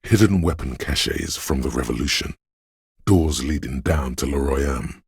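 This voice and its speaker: A man voicing a brooding inner voice that senses a rainy city, narrating a video game in a slow, solemn, poetic and deliberate way with a gravelly, husky tone. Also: a bass voice, darkish tone, dry recording, clean studio sound, exaggerated voice. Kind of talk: scripted atmospheric second-person narration.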